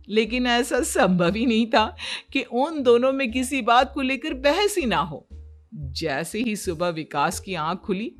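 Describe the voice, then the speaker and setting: Slow-paced woman telling a cartoon story. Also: fairly high pitch. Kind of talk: scripted cartoon story narration.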